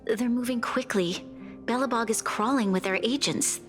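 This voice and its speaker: A woman's voice: fairly high pitch, moderate pace, a bit bright, close to an everyday voice. A woman playing a weary, dutiful young leader in a video game, speaking formally and with concern. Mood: weary, worried. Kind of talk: scripted game dialogue. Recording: background music under the voice.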